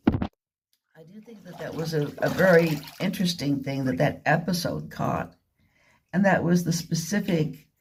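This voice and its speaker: Slow, halting woman in informal monologue. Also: sits low, slight background noise. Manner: halting, repetitive, with deliberate emphasis. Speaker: vlogger. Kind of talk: rambling informal monologue retelling and commenting on a radio drama.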